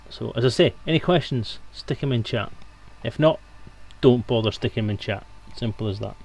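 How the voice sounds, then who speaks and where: A man's voice: mid-range voice, moderate pace, creaky, a little mellow. A man hosting a live stream in casual, unscripted, rambling chat.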